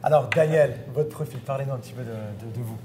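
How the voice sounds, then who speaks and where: A man hosting a casual on-location interview, often halting as he searches for words. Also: medium pitch, crowd noise. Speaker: interviewer and host. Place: restaurant. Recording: clear background noise and hum.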